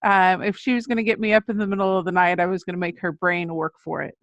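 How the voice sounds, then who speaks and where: A woman's voice: lowish voice, little pitch movement, quick pace, no echo. A middle-aged woman, an author appearing as a guest, telling anecdotes in a casual interview style. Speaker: children's book author, podcast guest.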